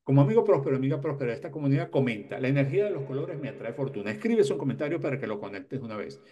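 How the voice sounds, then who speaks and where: A man's voice: medium pitch, lively intonation, racing, mellow, husky, lo-fi sound. A man in his sixties giving motivational advice to camera as a self-help host, emphatic and repeating key words. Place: small indoor room lined with bookshelves.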